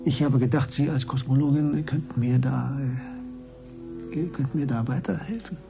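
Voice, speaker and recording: An actor playing a dry, politely defiant private detective in a German radio drama, speaking in a hesitant, wry way. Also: male voice, mid-range voice, moderate pace, gravelly, music in the background, close to an everyday voice. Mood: puzzled, earnest.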